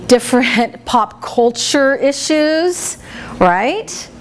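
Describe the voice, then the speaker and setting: A woman with a big, high voice leads a workshop for a small group in a meeting room. She talks in a chatty, lively way and laughs often. A faint hiss sits under her voice. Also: drawn-out words. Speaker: speaker trainer. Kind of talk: workshop teaching.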